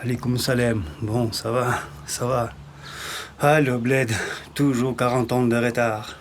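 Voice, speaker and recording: An actor playing a grumbling, condescending workshop hand receiving a delivery in an audio drama. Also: male voice, mid-range voice, level tone, measured pace, slight background noise, lightly acted. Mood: bossy, resigned. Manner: exasperated, condescending. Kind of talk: naturalistic audio drama acting.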